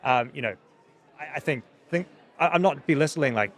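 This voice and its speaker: A man in his thirties with a New Zealand accent answers interview questions earnestly, often pausing and repeating words as he thinks. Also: on the high side, fairly bright tone. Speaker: technology company founder, interview guest.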